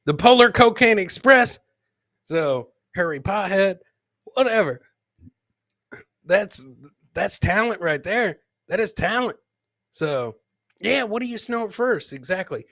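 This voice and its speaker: A man's voice: sits high, expressive, takes it slowly, pauses often, fairly bright tone, no room sound, studio quality. A man hosting a live comedy show, commenting on clips casually and informally, repeating his lines like a showman. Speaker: podcast host.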